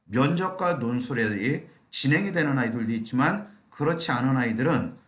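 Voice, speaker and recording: Middle-aged male consultant, tired husky throat, animated intonation, explaining to a seminar in an echoey room. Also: mid-range voice, moderate pace. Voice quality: slightly hoarse, thick voice. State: strained throat from long talking. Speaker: admissions consultant giving a lecture.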